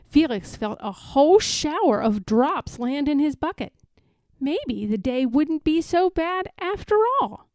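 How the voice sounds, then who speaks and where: A woman reading a children's picture book aloud in an expressive, deliberate storytelling style. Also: sits high, no echo.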